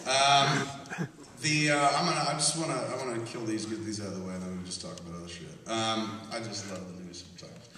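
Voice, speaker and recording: Guy hosting a live podcast, swearing and doing silly voices, recorded by a camera far back in a big echoey room, crowd laughing loud. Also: on the low side, fast talker, background noise. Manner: profane, animated storytelling with mocking voices. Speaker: podcast host. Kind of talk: live comedy podcast, reading news and riffing. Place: campus stage before a live audience. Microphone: hand-held camera recording from the audience.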